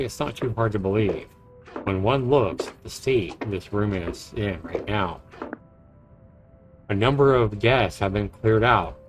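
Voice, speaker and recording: Visual novel lead: male actor as a low, wry, introspective man, guilt-ridden, with music underneath. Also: close to an everyday voice. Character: brooding, guilt-ridden man with a dry charm. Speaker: narrating protagonist. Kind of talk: visual novel voice acting, introspective narration and dialogue.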